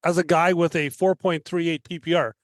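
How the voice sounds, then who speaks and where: A man's voice: a little high, fairly even intonation, fairly quick, no room sound, clean studio sound. A man from Canada chatting casually as a podcast guest. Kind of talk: casual chat.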